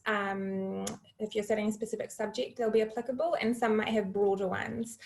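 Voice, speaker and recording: A woman representing a university, calmly explaining scholarships on a webinar. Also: medium pitch, moderate pace, creaky, a little hiss or hum. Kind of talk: informative webinar answer. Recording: online video call.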